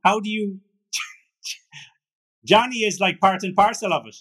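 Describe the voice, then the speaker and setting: A man's voice: sits high, slow pace, a bit bright, breathy edge. A man interviewing a singer in a casual chat, jokey and teasing.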